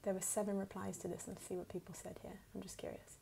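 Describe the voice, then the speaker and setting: A woman from the United States with a put-on English accent, speaking softly and at times whispering as she unhurriedly answers questions for an ASMR video. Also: medium pitch, flat delivery, quick pace, creaky, background noise. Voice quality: soft, partly whispered.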